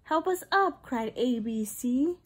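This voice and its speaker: A woman's voice: high-pitched, animated, slow pace, slightly rough. A young woman, a preschool teacher, reads a picture book aloud in a sing-song way for young children. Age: young adult.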